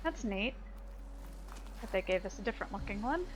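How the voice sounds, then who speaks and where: A woman's voice: mid-range voice, lively intonation, normal speed, background noise. A woman giving casual, chatty video game commentary, thinking aloud as she plays.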